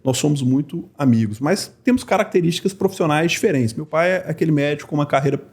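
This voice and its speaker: A man's voice: a little high, fast, a little mellow, husky. A man in his forties from Belo Horizonte with a Minas Gerais accent, a lawyer guest talking earnestly and reflectively in an interview. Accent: Minas Gerais Portuguese. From Belo Horizonte, Brazil. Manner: earnest, reflective, with many fillers. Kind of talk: relaxed interview conversation.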